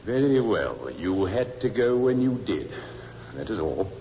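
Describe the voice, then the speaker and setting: Stern, relentless barrister, swooping delivery. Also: male voice, fairly low pitch, sing-song, measured pace, warm tone, faint music behind. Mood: insistent. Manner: formal, pressing, insistent. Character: stern, relentless male defense barrister cross-examining witnesses. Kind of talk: 1950s radio crime drama acting. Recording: muffled old radio recording, reverberant, hissy.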